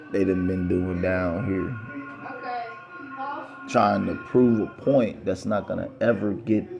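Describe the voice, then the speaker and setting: A man's voice: lowish voice, gravelly, warm tone. A man talking straight to camera in a rambling, insistent monologue, repeating himself for emphasis. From United States. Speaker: vlogger.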